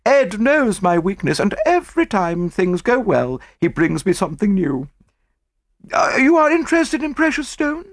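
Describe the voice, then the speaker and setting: Man putting on a chatty rich lady, gushing, very high voice, dry close sound. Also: big pitch swings, moderate pace, dry recording. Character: gushing wealthy middle-aged woman, showy and chatty. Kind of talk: audiobook character voice.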